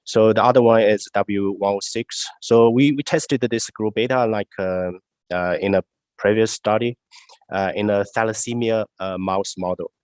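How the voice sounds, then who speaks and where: A researcher answers audience questions in a low voice that is nasal and congested from a cold. He speaks haltingly, with many fillers. The sound is dry and close. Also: steady tone, darkish tone, dry recording. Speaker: research scientist presenting. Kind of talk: answering questions after a talk.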